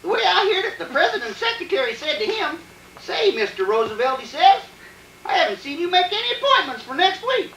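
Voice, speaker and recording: An actor playing a cheeky, folksy old-timer who spins jokes in 1940s radio comedy style. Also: male voice, very high voice, big pitch swings, brisk, sharp tone, exaggerated voice. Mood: cheerful, teasing. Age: older. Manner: folksy, cackling storyteller. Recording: echoey theatre stage, distant, faint noise.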